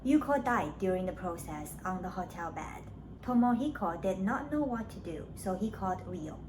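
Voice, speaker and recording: A woman narrating a scripted summary of a story, as a book reviewer does. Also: mid-range voice. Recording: clearly noisy background.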